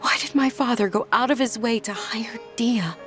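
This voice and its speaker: An actress playing a gentle young noblewoman who speaks softly and earnestly, with faint music behind. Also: on the high side, moderate pace, close to an everyday voice. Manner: soft, polite, earnest.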